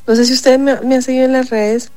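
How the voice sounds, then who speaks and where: A woman's voice: high voice, level tone, fast talker, mellow, no room sound. Emphatic congested woman. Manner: emphatic, insistent, repeats points. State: congested, stuffy. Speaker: city councillor. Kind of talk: radio interview.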